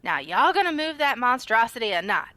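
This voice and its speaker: Woman acting a sharp, snippy office lady. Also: on the high side, big pitch swings, a little fast, dry recording. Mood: exasperated. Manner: exasperated, curt, Southern y'all speech. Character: snippy, irritable female office worker, haughty. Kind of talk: comedy western audio drama.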